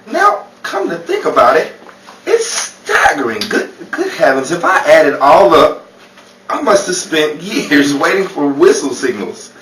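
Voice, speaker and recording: A man playing a flustered, courteous, charming gentleman in an old-time radio comedy. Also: fairly high pitch, big pitch swings, unhurried. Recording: roomy, distant-mic recording with clear noise.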